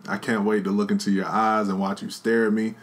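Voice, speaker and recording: A man co-hosting a casual podcast with his wife, talking in a relaxed, slangy, earnest way about becoming a father. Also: on the low side, a little fast. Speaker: podcast co-host, expecting father. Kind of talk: casual chat.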